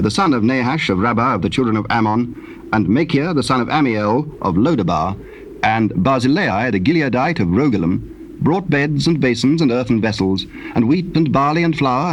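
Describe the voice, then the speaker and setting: Male narrator for dramatized scripture, formal and measured, mid register, slightly hissy old cassette. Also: medium pitch, low-quality recording.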